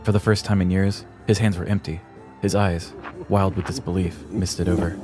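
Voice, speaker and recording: A man's voice: deep voice, fairly even intonation, moderate pace, over loud music. A man hosting a podcast, reading scripted comic true-crime narration with a dramatic storybook delivery. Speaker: podcast host.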